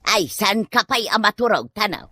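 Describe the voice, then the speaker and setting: A woman's voice: medium pitch, lively intonation, normal speed, bright, forceful tone, breathy edge, no room sound, clean studio sound. A woman playing an elderly, fretful and sentimental wife in an Ilocano comedy radio drama, exclamatory and melodramatic, with an Ilocano accent. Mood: scolding.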